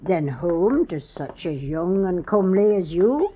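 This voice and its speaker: An actress playing a nosy neighbour gossip with sly, sing-song, old-fashioned speech in a radio drama. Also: female voice, fairly low pitch, sing-song, measured pace, breathy edge, exaggerated voice. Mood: sly, envious. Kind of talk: 1950s radio drama acting. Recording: dull, noisy old radio recording.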